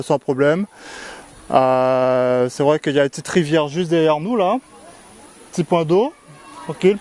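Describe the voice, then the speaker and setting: A man in his thirties from French Guiana, talking casually and calmly to the camera with frequent hesitations. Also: a little high. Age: 30s. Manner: calm, unhurried, with hesitations.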